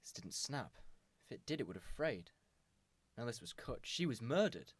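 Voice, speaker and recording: A man's voice: medium pitch, sing-song, moderate pace, slightly rough, dry recording. A man playing an earnest police inspector in an amateur radio drama, matter-of-fact and full of questions. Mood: grave, urgent. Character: earnest, businesslike male police inspector investigating murder.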